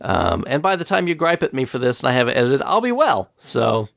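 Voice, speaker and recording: A man hosting a casual podcast, nasal and scratchy from a cold. His pitch swings a lot as he tells a story, and his voice has a gravelly edge. The recording is dry and close. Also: a little fast, no room sound. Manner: chatty storyteller, laughs often, animated retelling. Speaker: podcast host.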